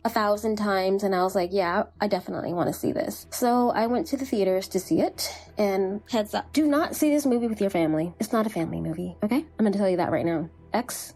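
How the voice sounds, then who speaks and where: A young woman chats casually as a solo podcast host. She is excitable and giggly, with valley-girl turns of phrase and a medium-pitched voice. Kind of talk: casual solo podcast chat about films.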